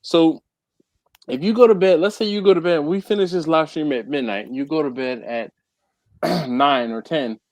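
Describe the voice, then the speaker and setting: A man's voice: on the high side, moderate pace, no echo. A man hosting a casual group live stream, teasing his co-host and reading viewer comments aloud. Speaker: livestream host.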